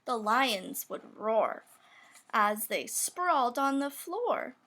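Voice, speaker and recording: Woman, high-pitched, unhurried, storybook read-aloud, playful rhyming delivery. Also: background noise. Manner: expressive, playful emphasis on sound words. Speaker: storybook reader. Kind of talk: children's picture book read-aloud, rhyming verse.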